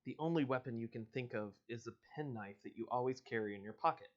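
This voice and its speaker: A man's voice: medium pitch, fast talker. A man hosting an informal livestream, reading an adventure story aloud and chatting casually. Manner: informal, bantering with co-host and viewers. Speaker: host reading an interactive adventure book aloud. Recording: dull lo-fi livestream audio.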